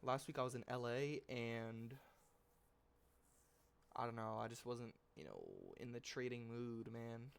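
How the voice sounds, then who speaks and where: A man's voice: medium pitch, unhurried, creaky, long pauses, clear, resonant tone. Stuffy-nosed man rambling through a trade recap. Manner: rambling, loose, self-correcting; slangy and informal, mild swearing. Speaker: trading channel host. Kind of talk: casual screen-share trade recap.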